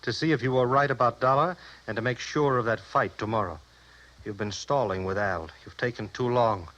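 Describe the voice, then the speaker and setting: A man acts a cold-blooded American racketeer in an old radio crime drama. His medium-pitched voice is smug and commanding, handing out clipped threats. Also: a little fast, a bit bright, muffled recording, natural voice. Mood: ruthless, scheming. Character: cold, menacing male mob boss, smug and ruthless. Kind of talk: 1950s radio noir villain acting.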